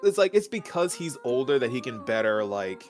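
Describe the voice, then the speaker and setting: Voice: mid-range voice, big pitch swings, normal speed. A guest co-commentator talking in a casual fan chat. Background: background music.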